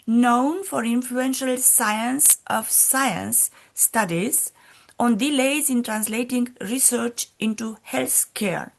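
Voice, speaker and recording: A woman chairing a colloquium over a video call, slowly and carefully reading out a speaker's introduction with slightly non-native phrasing. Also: on the high side, vocal fry.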